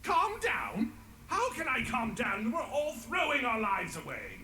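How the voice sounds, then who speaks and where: An actor playing a panicky, defeatist council leader in a fantasy game, agitated and theatrical. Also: male voice, very high voice, huge pitch swings, measured pace, roomy sound, background noise, full character voice. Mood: panicked, indignant. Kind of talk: dramatic fantasy game voice acting.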